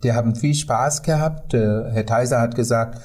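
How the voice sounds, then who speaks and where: An older man from Sri Lanka, a non-native speaker with a Sri Lankan accent, telling stories haltingly as a tour guide in an interview. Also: mid-range voice, darkish tone, breathy edge. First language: not German. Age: 50s or older. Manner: halting, non-native German with frequent hesitations. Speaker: tour guide, podcast guest. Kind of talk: conversational storytelling in an interview.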